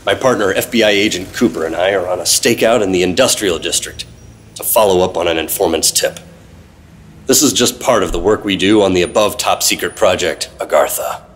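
Guy doing a secretive tired government agent, husky low voice, deadpan old radio drama narration. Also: fairly quick. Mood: guarded, serious. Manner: terse, deadpan, hard-boiled. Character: secretive world-weary 1950s government agent telling his story.

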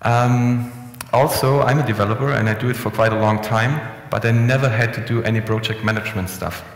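Young man, easygoing conference speaker, gravelly voice, echoey room sound. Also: medium pitch, fairly quick. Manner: relaxed, conversational, frequent restarts and fillers. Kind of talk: conference presentation. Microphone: headset mic. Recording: echoey hall with long reverb.

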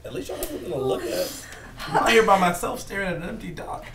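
A man's voice: high-pitched, sing-song, fast. A man reading the part of an affable, grumbling police officer casually at a drama table read. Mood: grumbling, teasing. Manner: casual, complaining. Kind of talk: script read-through of a sci-fi drama. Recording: echoey room, loud group chatter.

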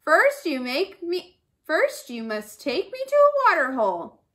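Woman doing a high, sly female turtle. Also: big pitch swings, takes it slowly, sharp tone, heavily acted. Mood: sly, coaxing.

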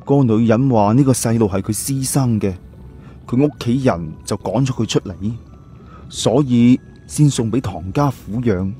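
Audiobook hero, acted by a male narrator: young man, analytical, short-tempered, insistent, soft-edged mid-pitched voice. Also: moderate pace, soft background music, lightly acted. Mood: insistent, reasoning. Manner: emphatic, explanatory, colloquial. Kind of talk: audiobook character dialogue.

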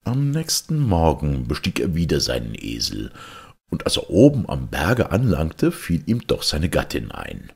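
Deep, husky, theatrical male storyteller. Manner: theatrical, expressive, deliberate. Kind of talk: dramatic fairy-tale narration.

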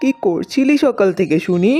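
A woman voicing a tyrannical, sharp-tongued older village mother-in-law in a cartoon. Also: mid-range voice, expressive, normal speed, lightly acted. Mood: scolding. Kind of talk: cartoon story voice acting. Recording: music bed under the voice.

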